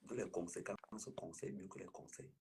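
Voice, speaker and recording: A man chatting casually on a live stream, speaking in a flowery, flattering way. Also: moderate pace. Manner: flowery, sweet-talking, bursts of high laughter.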